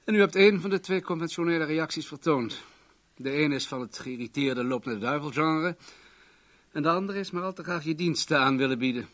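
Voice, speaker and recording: A man's voice: fairly high pitch, fairly quick, fairly bright tone, dry recording, close to an everyday voice. A man acting in a radio play as a self-assured, rich businessman and bon vivant, lecturing in a leisurely, pompous way. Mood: suave, condescending.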